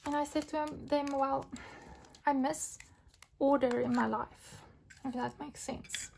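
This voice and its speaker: A young adult woman from South Africa, husky as she recovers from illness, chatting casually and rambling with fillers while crafting at home. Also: high voice, steady tone, takes it slowly, darkish tone. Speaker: diamond painting vlogger. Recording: home recording with craft crinkling noise. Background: crinkling packets and clicks.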